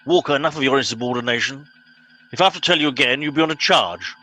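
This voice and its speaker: A man playing a pompous, bossy middle-aged captain in an amateur comedy radio play, brisk and officious. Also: medium pitch, sharp tone, faint music behind. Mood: stern.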